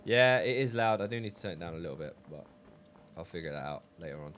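A man's voice: deep voice, animated, quick pace, fairly bright tone, a little hiss or hum. A man chatting casually on a game live stream, reading viewer messages aloud.

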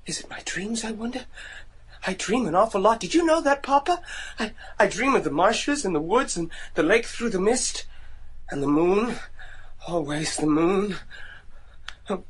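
An actor playing a haunted young man turning werewolf, with halting, tremulous, melodramatic delivery in a gothic horror radio drama. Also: sits high, a bit bright. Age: young adult. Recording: noisy radio drama recording.

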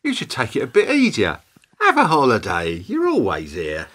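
An actor in a radio play playing a blunt, matey workmate with a brisk, informal way of talking. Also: male voice, medium pitch, huge pitch swings, a bit bright.

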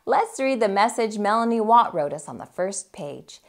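A middle-aged woman hosting children's storytime, reading a picture book aloud in a theatrical, deliberate, emphatic way. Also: mid-range voice, animated. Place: small indoor room with bookshelves.

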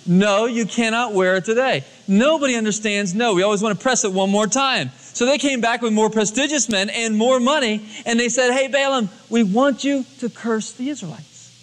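A man's voice: very high voice, moderate pace, fairly bright tone. A man preaching a storytelling sermon as a pastor, acting out dialogue in everyday colloquial words. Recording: long hall reverb, cassette tape transfer.

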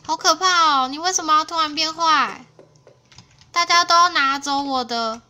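A woman giving casual, expressive let's play commentary as host of a gaming video. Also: high voice, normal speed. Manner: expressive, exclaiming reactions. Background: game music.

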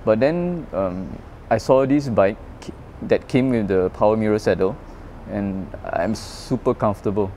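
A man's voice: on the low side, moderate pace, a little hiss or hum. A man who grew up in Malaysia, speaking, chatting casually about his road bike in an open parking garage at night, full of fillers and restarts. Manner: chatty, animated when telling stories, many fillers and restarts. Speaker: bike owner, guest. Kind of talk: casual interview chat.

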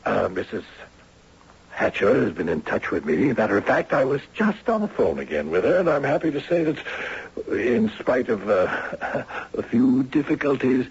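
An actor playing a smooth, evasive director of an old-age home in a 1970s radio drama, fussy and over-polite, hesitating and repeating himself. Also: male voice, mid-range voice, sing-song, moderate pace, heavily acted. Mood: ingratiating. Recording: dull vintage radio broadcast.

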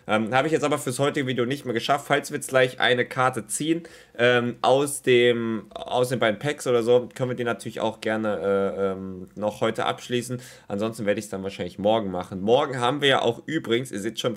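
A young man talks casually at his desk mic, his voice slightly hoarse from a cold. He rambles along, filling gaps with ums and ahs, in a small room at home. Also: fairly low pitch. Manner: chatty, rambling, many filler sounds. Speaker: gaming YouTuber. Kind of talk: casual gaming commentary.

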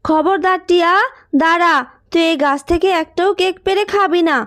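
A woman's voice: very high voice, fairly even intonation, moderate pace. A woman voicing a stern, suspicious mother in a cartoon, brusque and scolding. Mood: wary. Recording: long reverb with music underneath.

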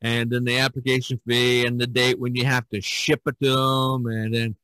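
A man's voice: mid-range voice, little pitch movement, moderate pace, sharp tone, no room sound. A man hosting a casual podcast discussion as a painter, talking in a rambling, chatty way.

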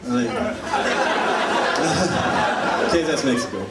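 A young man with a Puerto Rican Spanish accent works a comedy club crowd, joking and playful. He sounds set back from the mic in a roomy, echoing space. A loud audience laughs around him over a noisy background. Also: fairly high pitch, expressive, extremely slow. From Puerto Rico. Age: young adult. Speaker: stand-up comedian. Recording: echoey live room from a distance.

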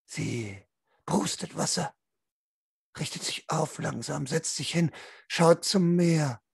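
Male tabletop storyteller, young adult, dry close sound, gravelly voice, dramatic and drawn-out. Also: on the high side, dry recording. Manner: theatrical, drawn-out, intense delivery. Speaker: game master, storyteller. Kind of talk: dramatic tabletop role-play narration. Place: small room at home, online video call.

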